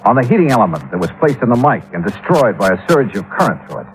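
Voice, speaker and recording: An actor playing a sharp, confident insurance investigator in a 1950s radio detective drama, speaking in a clipped, assured, pointed way. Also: male voice, on the low side, sing-song, fast talker, a little hiss or hum. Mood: accusing. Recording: old radio recording, narrow band.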